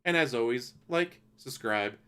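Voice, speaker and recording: A man giving a loose, profane spoken review of an anime, ranting casually and acting out characters in quick asides. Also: medium pitch, expressive, sharp tone, clean tone.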